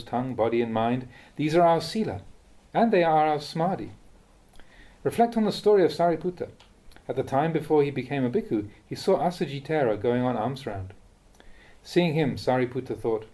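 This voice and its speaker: A man with a soft, mellow voice reads a Buddhist teaching aloud. His delivery is measured and contemplative, yet the pitch rises and falls expressively as he poses rhetorical questions. He sounds like a monk giving a reflective talk, at a medium pitch. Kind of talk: read-aloud dharma talk.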